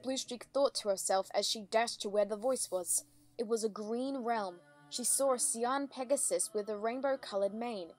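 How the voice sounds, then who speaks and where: A woman's voice: a little high, moderate pace, darkish tone. A woman reading scripted story narration as the narrator of a dramatic reading. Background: faint music.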